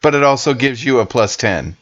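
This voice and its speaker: A man's voice: medium pitch, lively intonation, a little fast, lo-fi sound, noisy background. A man talking casually as a player in a tabletop game.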